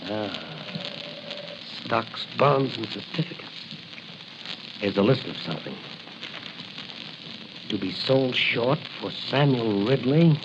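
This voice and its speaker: Masked radio hero, crackly old recording. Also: male voice, mid-range voice. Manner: confident, theatrical, talks to himself. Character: masked male crime-fighting hero, bold and wry. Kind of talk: 1940s radio acting. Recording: scratchy, hissy, muffled 1940 radio recording.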